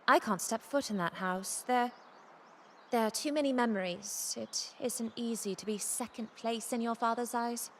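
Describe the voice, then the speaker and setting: A woman playing a haunted, broken craftsman's daughter in a video game, dramatic, anguished and halting. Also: fairly high pitch, slight background noise, close to an everyday voice. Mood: pleading, uneasy. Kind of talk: game character dialogue.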